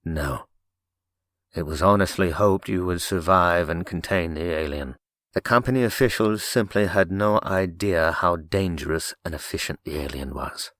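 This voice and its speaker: A man voicing a calm, clinical male android in an audiobook, speaking in a measured, formal, detached way. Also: sits low, dry recording, studio quality. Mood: cold, detached. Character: calm, clinical male android science officer, coldly logical. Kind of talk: audiobook character acting.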